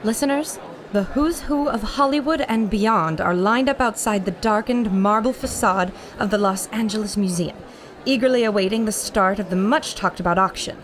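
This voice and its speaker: A woman playing a brisk, earnest radio reporter and narrator with an American accent, in old radio serial style. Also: medium pitch, a little hiss or hum, close to an everyday voice. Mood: dramatic narration. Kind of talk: 1940s radio serial acting.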